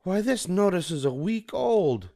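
A man's voice: sits high, very animated, vocal fry, mellow, voice pushed up, heavily acted. A man voicing a strict, scolding mother bear in a storybook reading. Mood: stern. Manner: slow, firm scolding. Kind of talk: storybook read-aloud.

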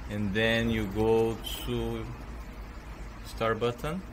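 A man's voice: fairly low pitch, level tone, slow, noisy background. A man hosting a tech channel, walking viewers through a computer reset in a plain, hesitant way with fillers. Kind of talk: casual how-to tutorial.

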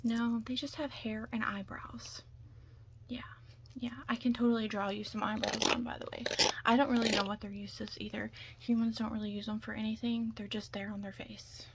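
Soft-spoken woman, half whispering. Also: fairly high pitch, flat delivery, moderate pace. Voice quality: soft, breathy, often dropping into whisper. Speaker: ASMR roleplayer playing a makeup artist. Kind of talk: ASMR soft-spoken roleplay. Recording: clear background noise.

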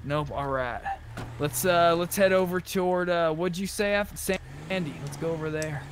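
A man with a somewhat high voice talks casually while playing a roleplay game. His phrasing is folksy and a little profane. A light bed of game and vehicle noise sits behind him. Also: normal speed. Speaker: player in a roleplay game session. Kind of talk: casual in-character gaming chat. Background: game ambience and car engine.

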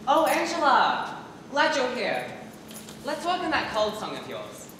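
A young man on stage playing an earnest, encouraging male drama teacher in a high school play, recorded from the audience. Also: wildly swooping pitch, measured pace, full character voice. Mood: reassuring. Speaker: high school student actor. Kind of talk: amateur stage play acting. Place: theater stage in large auditorium. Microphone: far camera in audience. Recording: echoey auditorium, distant camera, loud room noise.

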